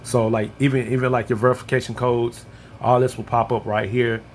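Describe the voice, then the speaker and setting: A man's voice: mid-range voice, little pitch movement, fairly quick, a little hiss or hum. An American man giving an informal, step-by-step app walkthrough as a tutorial presenter.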